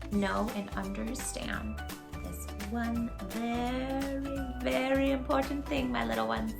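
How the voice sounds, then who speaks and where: A woman hosting a read-aloud storytime for young children, speaking in an animated, emphatic way straight to her little listeners. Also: fairly high pitch, creaky. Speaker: children's storytime host and tutor.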